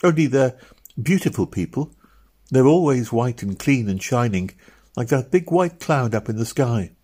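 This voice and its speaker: A man voicing an earnest little girl who soothes her younger brother in a soft, hesitant way. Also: mid-range voice, expressive, moderate pace, husky, voice pushed up. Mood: earnest, gentle. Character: earnest little girl, protective older sister.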